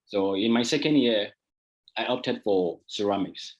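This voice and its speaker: A man from Ghana giving a relaxed, conversational presentation on a video call, with many fillers. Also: fairly low pitch, a little slow. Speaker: guest artist presenter. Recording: dull lo-fi call audio.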